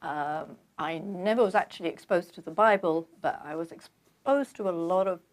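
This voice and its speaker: An older woman from London with a London accent, calmly telling her life story as a guest in an interview. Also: on the low side. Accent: London English. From London, England. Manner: measured, reflective storytelling. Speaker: guest author. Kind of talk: conversational interview.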